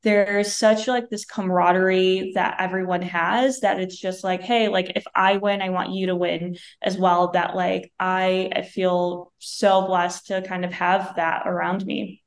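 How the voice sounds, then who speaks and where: A woman's voice: on the low side, a little slow, creaky, hardly any pauses. Woman, 30s, Vietnamese native speaker, easygoing interview guest with lots of "like" fillers, on a video call. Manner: relaxed, leans on "like" and "you know". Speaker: guest, chef and wine entrepreneur. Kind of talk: casual interview chat. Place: bright room at home.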